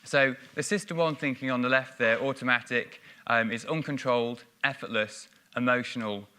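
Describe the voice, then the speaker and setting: Bright-voiced man presenting at a conference. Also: mid-range voice, fairly even intonation, moderate pace, clean tone. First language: English. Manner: explanatory, frequent ums and restarts. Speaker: conference speaker. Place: conference stage.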